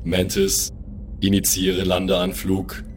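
A man's voice: low voice, completely flat delivery, slow pace, a little mellow, a little hiss or hum. A man playing a haughty, cold alien in an improvised audio play, speaking in a formal, condescending and clipped way. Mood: commanding. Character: haughty, cold alien son scorning lesser lifeforms.

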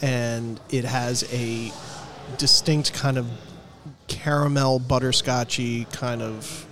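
Male podcast co-host asking halting questions. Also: medium pitch, steady tone, fairly bright tone. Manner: friendly, probing questions, halting. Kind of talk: casual chat.

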